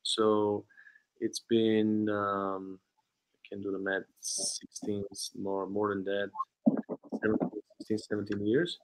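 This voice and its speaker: A man in his thirties from Bulgaria with a Bulgarian accent, a guest telling his story in a casual interview, earnest and often pausing to search for words. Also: lowish voice, monotone, low-quality recording. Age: 30s. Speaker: guest, sales trainer and consultant.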